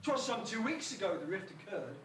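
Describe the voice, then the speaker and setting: Guy acting a mock-tragic panto servant, high voice, far off in an echoey hall. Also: very animated, brisk. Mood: mock mourning. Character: mournful loyal servant of a dying beast, archaic speech. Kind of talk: amateur pantomime rhyming monologue. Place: school hall stage, far from the microphone. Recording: echoey school hall, distant, noisy old tape.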